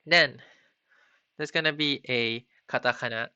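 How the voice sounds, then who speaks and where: A man teaching a beginner Japanese class on a live stream, halting often with ums and restarts. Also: medium pitch, bright, forceful tone, clear, resonant tone, no room sound. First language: not Japanese. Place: home computer setup. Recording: slightly dull lo-fi stream audio.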